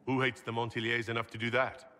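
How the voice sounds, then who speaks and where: An actor playing a steady, protective leader in a video game, speaking in a measured, plain and polite way. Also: male voice, mid-range voice, natural voice. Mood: resolute. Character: calm, steady, protective heroic male leader. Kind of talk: video game cutscene acting.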